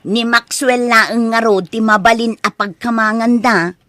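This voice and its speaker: An actress as a grown Ilocano daughter pressing her father in a comedy radio drama. Her voice is fairly high and pleading, recorded very dry and close. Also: steady tone, a little slow, sharp tone, no echo. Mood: insistent.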